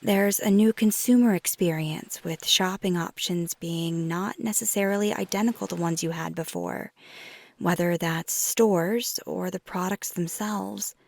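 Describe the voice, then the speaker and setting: A flirty, caring vampire woman played by a female performer speaks in an intimate ASMR roleplay. Her soft voice is breathy and gravelly with fry, and she takes her time over every phrase. She sounds affectionate and gently teasing, speaking close to the listener. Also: medium pitch, no echo. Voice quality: soft, breathy, often whispery. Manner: unhurried, thoughtful, affectionate.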